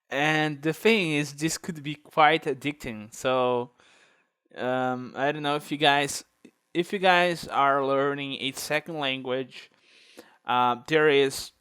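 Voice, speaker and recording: A man's voice: mid-range voice, a bit bright, clean tone. A man whose first language is Portuguese, speaking English with a Portuguese accent, hosting a screen-recorded tutorial and thinking aloud with many fillers. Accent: Brazilian Portuguese. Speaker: tech video host.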